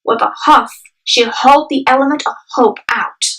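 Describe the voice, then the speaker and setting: A woman's voice: high-pitched, steady tone, normal speed, slightly rough. A young adult woman reading scripted dramatic narration for a story, recorded echoey and off the microphone. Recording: distant echoey home microphone. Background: soft music.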